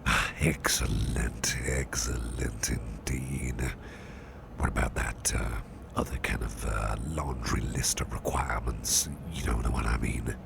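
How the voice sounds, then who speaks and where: A courteous, soothing businessman boss, acted out by a man in an ASMR roleplay. His voice is extremely low and full of heavy fry, hushed almost to a whisper. He takes his time, gently repeating polite phrases, with noticeable noise behind him. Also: level tone, measured pace, exaggerated voice. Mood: obliging, pleased. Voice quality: very deep, gravelly, breathy near-whisper. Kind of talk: soft-spoken ASMR roleplay.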